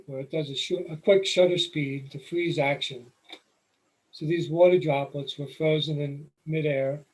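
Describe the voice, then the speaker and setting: A middle-aged man with a New York accent walks an audience through his slides. His voice sits a little high, and he explains things in an easy, unhurried way with the odd filler. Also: poor audio. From New York City. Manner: relaxed, explanatory, with occasional fillers. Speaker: photography presenter. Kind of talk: slide presentation lecture.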